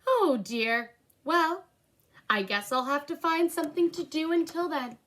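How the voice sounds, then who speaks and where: A woman voicing a spirited, polite businesswoman in a children's storybook, earnest and expressive. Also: high voice, measured pace, voice pushed up. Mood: dismayed.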